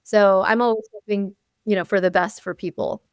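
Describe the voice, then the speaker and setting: A woman who grew up speaking Arabic talks through her career in a podcast interview, warm in her storytelling and full of little asides. Her voice is smooth and clear at a middle pitch. It sits dry and close to the mic, with no room around it. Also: moderate pace, no room sound. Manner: animated storytelling with frequent "you know" and laughs. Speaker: guest, chief dental officer. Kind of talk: conversational interview.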